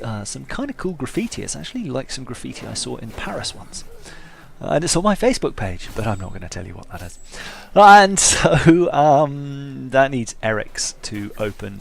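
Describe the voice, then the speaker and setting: A man with a creaky, middle-pitched voice commentates casually over a game. His delivery is wry and self-mocking. Faint game noise sits behind him. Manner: wry, self-deprecating humour. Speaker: gaming video host.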